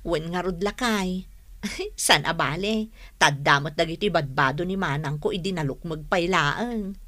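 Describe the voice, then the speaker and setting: An actress playing a thrifty, chatty, cheerful married woman in an Ilocano radio drama, expressive and teasing. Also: fairly low pitch, moderate pace, no echo. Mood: gossipy, amused.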